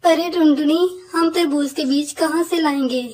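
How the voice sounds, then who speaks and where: Woman as a high-voiced bossy old bird mother-in-law. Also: fairly even intonation, normal speed, a little mellow, lightly acted. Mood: kindly. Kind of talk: Hindi cartoon voice acting.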